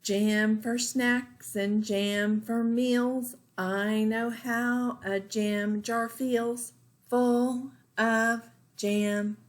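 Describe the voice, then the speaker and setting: A woman's voice: on the high side, fairly even intonation, drawn-out words. A woman voicing an earnest, childlike young girl character in a storybook. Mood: singsong.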